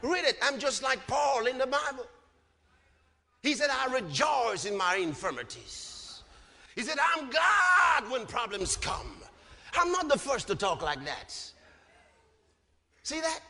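A man's voice: extremely high pitch, very animated, slow pace, gravelly, long pauses, pushed and bright. A man preaching an impassioned sermon, emphatic and repetitive, punctuated with rhetorical questions. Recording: long hall reverb.

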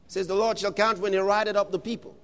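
A man preaching an impassioned sermon, shouting lines and stretching out words for emphasis. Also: high-pitched, little pitch movement, fast, big-room sound.

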